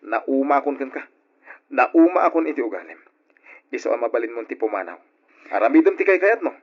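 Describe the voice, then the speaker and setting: A man with an Ilocano Filipino accent playing a smooth-talking, callous philanderer in a radio drama. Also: medium pitch, sing-song, brisk. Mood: cold, irritated. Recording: dull old radio drama recording.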